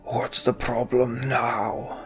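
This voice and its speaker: A man voicing a stern, decisive giant warrior leader in a fantasy game, with slow, weighty, commanding speech. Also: mid-range voice, flat delivery, music in the background. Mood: brusque.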